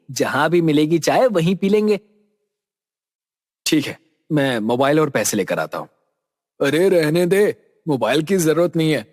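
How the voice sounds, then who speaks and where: Storybook horror young friend voiced by a man: lively, exclamatory, bickering, in a reverberant hall sound. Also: fairly high pitch, voice pushed down. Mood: calling, casual. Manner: exclamatory, casual banter. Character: young Indian men, bickering excitable friends.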